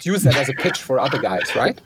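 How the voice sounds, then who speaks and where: A man hosting a podcast interview, chatty and eager, dropping quick interjections into the guest's story. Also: medium pitch, big pitch swings, fairly bright tone, a little hiss or hum. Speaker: podcast host. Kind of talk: casual interview talk.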